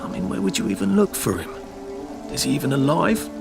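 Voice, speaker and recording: A man's voice: a little high, very animated, fairly quick, creaky, heavily acted. An actor playing a polite, anxious Englishman with a hesitant, understated manner and an English accent in a video game cutscene. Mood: doubting, musing. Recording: music and rain behind voice.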